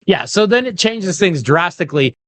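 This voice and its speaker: A man hosting a casual sports podcast, chatty, with quick asides and leading questions. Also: high voice, very animated, no echo. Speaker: podcast host. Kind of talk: casual sports chat and interviews.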